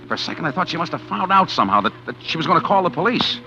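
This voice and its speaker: A man's voice: on the high side, very animated, rapid-fire, over music. An actor playing a desperate, scheming man who narrates his own story in a 1940s radio drama. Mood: tense. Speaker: narrating lead character. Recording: dull old radio broadcast with hiss.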